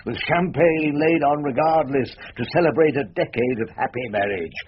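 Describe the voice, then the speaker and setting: A man's voice: on the high side, moderate pace, husky, highs cut off. An actor playing a wily older British male barrister in a radio drama, with a wry, courtly, rhetorical manner. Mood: smoothly persuasive.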